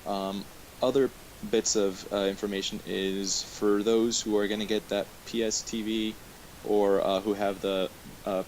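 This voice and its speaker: A man hosting a webcast, running through news from his notes in a casual, halting way. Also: on the low side. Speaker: webcast host. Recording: hissy, noisy online webcast audio.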